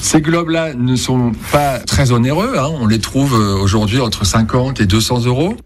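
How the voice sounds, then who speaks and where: A man's voice: mid-range voice, moderate pace, a little hiss or hum. A man, an antique dealer, explaining an old object with enthusiasm in a casual radio chat.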